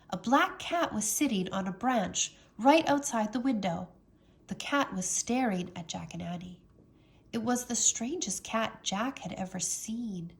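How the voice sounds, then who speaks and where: A woman reading a children's storybook aloud as a teacher, with expressive, dramatic narration. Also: medium pitch.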